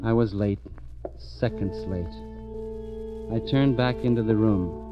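A hardboiled police lieutenant in an old radio play, narrating his case in a dry, clipped, sardonic manner. The sound is muffled and dull, with music playing clearly behind him. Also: on the low side, takes it slowly. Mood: hardboiled narration. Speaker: narrator and detective. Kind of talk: 1940s radio acting.

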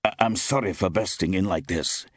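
Man playing an earnest young man, husky and insistent. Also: low voice, normal speed, no room sound, clean studio sound. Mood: breathless, urgent. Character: earnest, urgent young man with a secret errand.

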